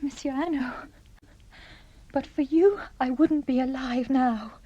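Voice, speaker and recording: An actress playing a gentle, grateful young woman in a radio drama, with a soft, breathy voice. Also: high-pitched, takes it slowly, dull audio, a little hiss or hum. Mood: shaken, grateful.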